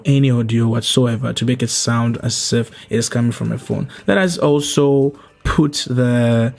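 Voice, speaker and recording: A young adult man narrating an explanatory tutorial as its host, going through it step by step in a measured way. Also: medium pitch, a little mellow. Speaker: host of a video editing tutorial. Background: background music.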